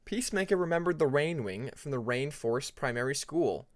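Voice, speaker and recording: Expressive male story narrator, animated pitch. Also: brisk, dry recording. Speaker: audiobook narrator of fan fiction.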